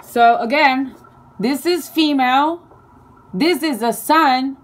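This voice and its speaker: Slow, halting woman, Chinese native. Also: high voice. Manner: slow, halting, playful, with fillers and self-corrections. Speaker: video creator. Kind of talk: casual explainer lesson.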